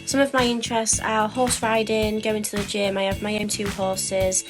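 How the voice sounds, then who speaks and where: A woman's voice: mid-range voice, flat delivery, moderate pace. A nineteen-year-old woman from England giving a simple self-introduction as a pageant contestant. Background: music.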